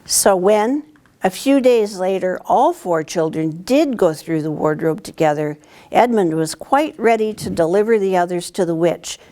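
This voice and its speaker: An older woman reads a picture book aloud as a guest storyteller, in an expressive, deliberate way. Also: on the low side. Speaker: town councillor reading to students. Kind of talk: read-aloud storybook narration. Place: indoors, library corner with bookshelves.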